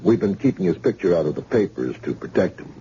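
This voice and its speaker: An actor playing a level, businesslike police detective sergeant in an old-time radio drama. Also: male voice, low-pitched, big pitch swings, quick pace, warm tone, husky, heavily acted. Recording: hissy, muffled old radio recording.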